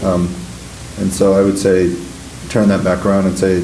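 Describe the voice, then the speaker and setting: A man's voice: low-pitched, completely flat delivery, moderate pace, warm tone, a little hiss or hum. A man presenting at a government conference, answering audience questions with many fillers and restarts. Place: conference room. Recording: echoey room.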